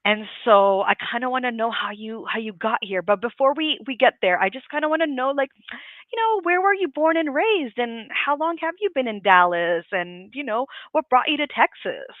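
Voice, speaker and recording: A woman's voice: a little high, animated, normal speed, no echo. A woman hosts a live online interview, talking in an effusive, gushing way and piling on fillers as she goes. Her voice comes through a thin, phone-like line that sounds dry, with no room around it. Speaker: interview host.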